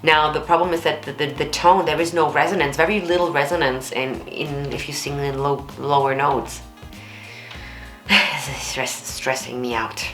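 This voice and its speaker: A woman with a low alto voice, giving blunt, candid and expressive reaction commentary as a vocal coach. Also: moderate pace, roomy sound, soft background music. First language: German.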